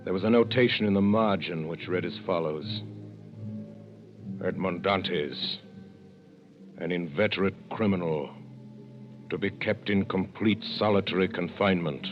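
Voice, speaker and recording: A man narrating in a measured, commanding way in 1930s radio drama style. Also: low voice. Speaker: narrator and lead actor. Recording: dull old radio recording.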